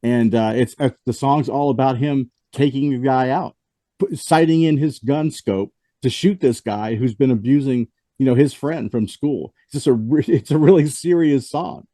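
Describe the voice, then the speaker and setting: A man's voice: mid-range voice, moderate pace, warm tone, no echo. A man hosting a casual music review chat and losing his voice after the flu, rambling with frequent restarts and fillers. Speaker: host.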